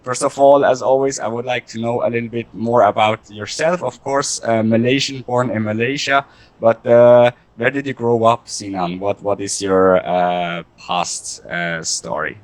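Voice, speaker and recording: A man hosting a conversational interview, speaking in halting, deliberate phrases. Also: medium pitch, fairly even intonation, dry recording. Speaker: podcast host interviewing a guest.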